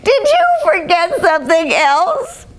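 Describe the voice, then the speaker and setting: A woman's voice: extremely high pitch, big pitch swings, slow, pitched above the performer's own voice, exaggerated voice. A woman voicing a fussy, anxious mother in a puppet story, asking the same questions over and over. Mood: fussing.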